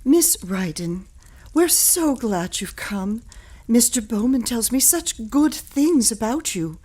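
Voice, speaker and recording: An actress playing a flustered, fragile widowed mother in a period audio drama, halting and repeating her words in a genteel, anxious way. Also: a little high, lively intonation, mellow. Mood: gracious, subdued.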